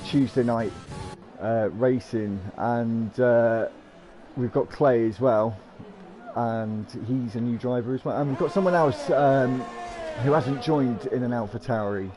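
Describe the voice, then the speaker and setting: A man in a mid-range voice hosts commentary for an online racing league. He is chatty and jokey, often pausing on "uh" while he reads out the drivers, and music plays clearly behind him. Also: medium pitch. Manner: chatty, lots of uh fillers, jokey. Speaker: league host and lead commentator.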